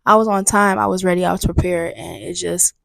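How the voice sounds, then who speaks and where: A woman's voice: lowish voice, steady tone, a little fast, gravelly, no echo. Young woman, podcast host, candid venting chat, slightly stuffy, dry close mic. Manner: candid, chatty, venting with emphatic repetition. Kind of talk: solo casual podcast talk. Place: booked studio room.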